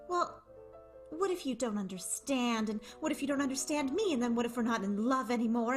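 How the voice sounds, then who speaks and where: An actress playing a hurt, lovelorn vaudeville performer in an improvised comic radio soap, hesitant and melodramatic. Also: female voice, a little high, natural voice.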